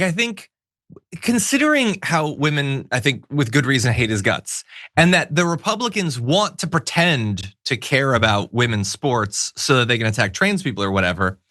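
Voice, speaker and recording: Lively, bright-voiced man giving commentary. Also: a little high, dry recording, studio quality. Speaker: political talk show host. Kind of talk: opinionated casual commentary.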